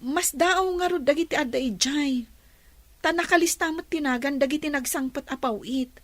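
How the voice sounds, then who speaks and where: An actress playing a polite, earnest young daughter in a radio drama. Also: female voice, high-pitched, moderate pace, no echo, lightly acted. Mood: troubled.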